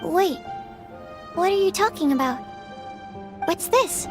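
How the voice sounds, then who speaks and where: A woman's voice: extremely high pitch, expressive, takes it slowly, heavily acted. A woman playing a shy, earnest, bookish young female researcher with polite, timid speech in an English anime game dub, over music. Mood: astonished. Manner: timid, polite, stammering when flustered.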